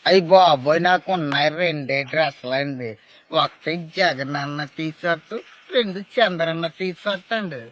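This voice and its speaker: A man, playing a naive villager in a scripted phone comedy. He rambles and breaks into sudden loud outbursts. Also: on the high side, normal speed, highs cut off.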